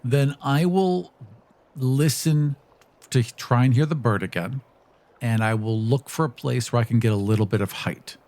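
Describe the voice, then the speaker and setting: A man's voice: mid-range voice, moderate pace, a little mellow. A man talking casually at the game table as a player in a tabletop roleplaying game.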